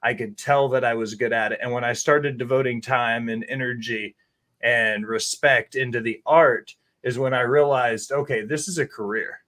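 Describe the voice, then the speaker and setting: A man's voice: mid-range voice, fairly even intonation, normal speed, fairly bright tone. Man, 30s, chatty guest chef, candid storyteller, salty language. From Tennessee. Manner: candid, rambling storyteller who swears freely. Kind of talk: casual interview talk.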